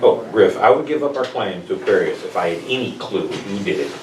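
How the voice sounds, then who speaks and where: A man's voice: sits low, animated, fairly quick. Man playing a space agency chief, distant echoey sound. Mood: official. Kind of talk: informal table read of a sci-fi script. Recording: distant echoey room mic, noisy.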